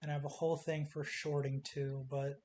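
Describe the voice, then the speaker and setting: A man talking casually through stock charts in short, clipped calls, his voice going hoarse as he says he is losing it. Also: a little high, flat delivery, a little fast, darkish tone. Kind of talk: casual screen-share chart commentary. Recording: faint hiss.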